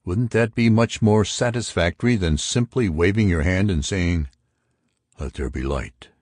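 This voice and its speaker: A man's voice: deep voice, a little slow, darkish tone, no echo, studio quality. A man voicing an argumentative intellectual who expounds theories to friends in an audiobook. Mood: eager, pedantic. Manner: emphatic, pedantic. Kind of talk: audiobook character dialogue.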